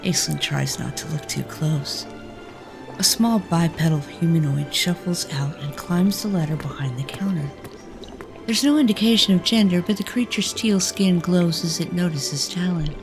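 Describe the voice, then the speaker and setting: A woman's voice: lowish voice, normal speed, vocal fry. A woman narrating a scripted fantasy story. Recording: faint music behind the voice.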